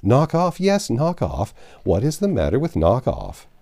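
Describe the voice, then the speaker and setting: A man's voice: medium pitch, wildly swooping pitch, unhurried, warm tone, exaggerated voice. A man voicing a confident, easily exasperated Yankee in a novel reading, blunt, plain-spoken and boastful. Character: confident, exasperated Yankee man stranded among medieval folk. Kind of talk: audiobook character dialogue.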